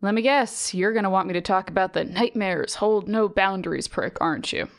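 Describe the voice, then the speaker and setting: A woman's voice: mid-range voice, fairly quick, no echo, clean studio sound, lightly acted. A woman playing an irritable, sarcastic podcast presenter in an audio drama, sounding weary. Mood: irritated, sarcastic.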